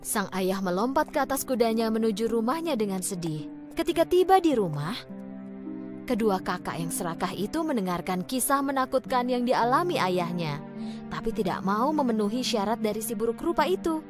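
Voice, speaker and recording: A woman narrating a cartoon fairy tale in an expressive, storytelling manner over background music. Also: on the high side, normal speed.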